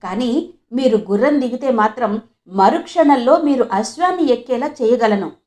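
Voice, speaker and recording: A woman storyteller voicing a witty royal minister who is respectful to his king and quietly sure of himself. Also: fairly high pitch, lively intonation, normal speed, close to an everyday voice. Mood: deferential, sly.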